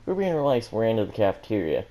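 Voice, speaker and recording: A man's voice: fairly low pitch, sing-song, rapid-fire, dull audio. A man reading a story aloud as an amateur, stumbling now and then over words and correcting himself. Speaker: fan fiction reader and commentator.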